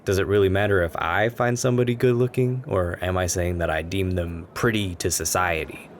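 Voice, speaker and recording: A man playing a shy, anxious and gentle young stranger who rambles self-consciously in a soft ASMR roleplay. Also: low voice, fairly even intonation, brisk, close to an everyday voice. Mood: rambling, pensive. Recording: background ambience noise. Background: coffee shop ambience.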